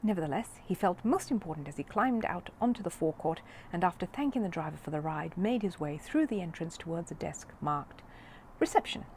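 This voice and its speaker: Expressive low-voiced woman reading a story. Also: a little fast, few pauses, a little hiss or hum. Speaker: audiobook reader of a children's book. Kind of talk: scripted storybook narration, expressive.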